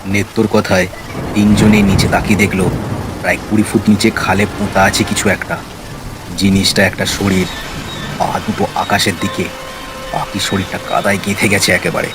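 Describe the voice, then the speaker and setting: A man's voice: deep voice, level tone, moderate pace, over music, poor audio. A man narrating a scripted horror story in a suspenseful, dramatic way. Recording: heavy rain and storm noise behind. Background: rain, storm effects.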